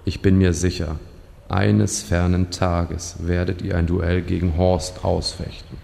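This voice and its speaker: An actor playing a dour, deferential servant of a fire lord in a comedic fantasy audio drama, speaking formally and deadpan. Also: male voice, low-pitched, measured pace, vocal fry, heavily acted. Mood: dry, solemn. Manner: formal, deadpan, solemn courtly phrasing. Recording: long hall reverb, faint noise.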